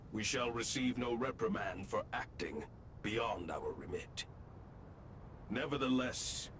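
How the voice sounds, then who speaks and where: An actor playing a solemn, dutiful saint in a video game, speaking gravely and formally. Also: male voice, background noise. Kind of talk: theatrical video game acting. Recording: clear rumbling game sound behind.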